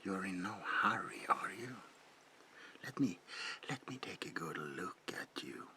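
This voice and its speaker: A very low, gravelly whisper from an eerie old vampire, acted out by a man in an ASMR roleplay. He speaks slowly and with old-fashioned courtesy, a lonely recluse whose politeness carries menace. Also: sing-song, noisy background, full character voice. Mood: coaxing, sinister. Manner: slow, murmured, old-fashioned phrasing, lingering repetitions.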